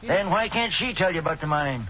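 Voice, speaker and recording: A man's voice: sits high, expressive, brisk. An actor playing a curt, sardonic old assayer in a 1940s radio drama, folksy in his talk. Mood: gruff. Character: gruff old male small-town mine assayer. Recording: muffled old radio broadcast with faint hiss.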